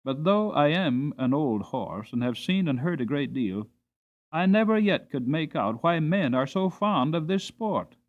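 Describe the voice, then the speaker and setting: A man voicing a wise, gentle old mother horse in a dramatic storybook reading, measured and moralizing. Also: on the high side, big pitch swings, highs cut off. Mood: gently reproving.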